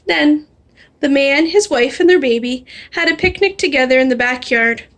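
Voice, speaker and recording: A woman reading a children's storybook aloud in an animated, expressive way. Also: sits high. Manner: animated, drawing out refrains slowly. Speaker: storybook reader. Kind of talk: expressive read-aloud of a children's picture book.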